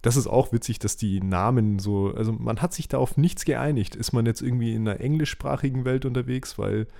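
A man's voice: medium pitch, brisk, vocal fry, warm tone. A man co-hosting a podcast, chatting casually in an amused, slangy, informal way. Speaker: podcast co-host. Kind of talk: casual chat.

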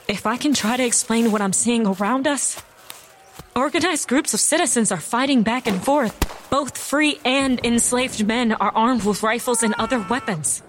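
A woman acting an urgent woman correspondent in a radio drama, reporting gravely from the field. Her voice sits fairly high, with faint noise and outdoor ambience around it. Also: natural voice. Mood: alarmed, shaken. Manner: grave, earnest on-scene reporting. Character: urgent woman broadcast reporter amid a slave uprising.

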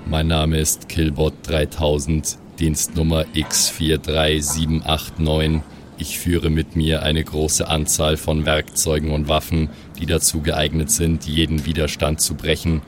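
A man's voice: rumbling low, monotone, a little slow, a little hiss or hum. A man voicing a cold, menacing killer robot with formal, imperious announcements in a tabletop role-play.

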